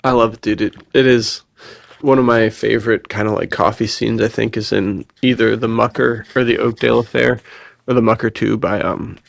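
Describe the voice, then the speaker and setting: A man with a fairly low, creaky voice, recorded close and dry. He chats casually like a podcast host among friends, telling stories in loose slang and acting out little bits of dialogue. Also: normal speed, no echo. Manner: slangy, animated storytelling with quoted dialogue and frequent laughter. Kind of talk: casual chat, telling personal anecdotes.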